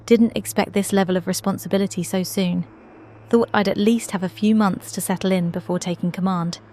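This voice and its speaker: A woman acting as a young lieutenant aboard a space station. She records her log in a measured, reflective way, modest and dutiful, with an ordinary mid-range voice in audio drama style. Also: mid-range voice, fairly even intonation, dark tone, close to an everyday voice.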